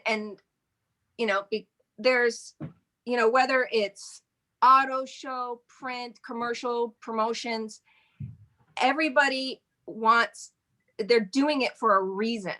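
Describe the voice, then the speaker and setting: A woman's voice: fairly high pitch, steady tone, slow, pushed and bright. A woman in her forties, guest in a casual interview, talking in a chatty, rambling way with frequent laughs. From Michigan. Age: 40s. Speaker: interview guest, modeling agency owner.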